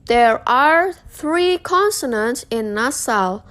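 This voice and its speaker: A woman's voice: on the high side, animated, slow, a bit bright. A young woman reads out a scripted lesson as a student presenter. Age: young adult.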